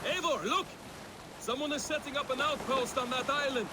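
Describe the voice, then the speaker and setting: Very high-pitched, needling Viking crewman. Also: normal speed, very noisy. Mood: grim banter. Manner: sardonic, needling. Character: blunt, mocking Viking crewman, loyal and crude. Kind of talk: video game cutscene acting.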